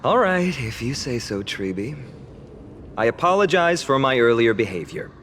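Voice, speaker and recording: An actor playing an earnest, polite young hero in a game cutscene. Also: male voice, mid-range voice, big pitch swings, moderate pace, a bit bright, a little hiss or hum.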